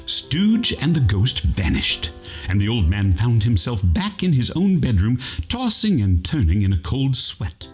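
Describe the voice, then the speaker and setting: A man's voice: mid-range voice, big pitch swings, unhurried, a little mellow, faint music behind. A man reading comic scripted narration for a radio play.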